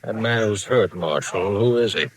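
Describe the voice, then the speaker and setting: Male actor, Old West manhunter, fairly deep, measured, courteous, quietly menacing, vintage radio drama. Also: close to an everyday voice, poor audio. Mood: polite. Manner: polite, measured. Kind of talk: vintage radio western acting.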